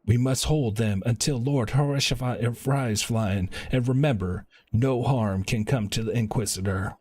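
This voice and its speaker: A man live-streaming a video game, reading quest dialogue aloud in a halting way and stumbling over words. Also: mid-range voice, darkish tone, slightly rough.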